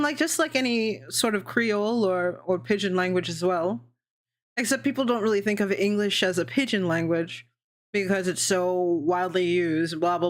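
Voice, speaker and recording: A young adult woman hosting a casual livestream, chatting in a rambling way, swearing freely and laughing often. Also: medium pitch. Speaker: VTuber streamer hosting a worldbuilding session.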